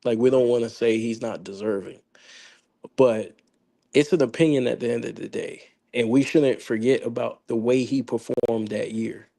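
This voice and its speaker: A man with a gravelly, hoarse voice chats about sports in a loose, slangy way. He rambles and restarts often, pitched in the middle range for a man. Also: normal speed, warm tone. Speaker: podcast host. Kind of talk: casual sports chat.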